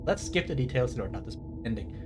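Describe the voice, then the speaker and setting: A man's voice: mid-range voice, very animated, rapid-fire, music in the background. A man giving casual livestream game commentary, digressive and wry as he explains trivia. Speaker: game streamer.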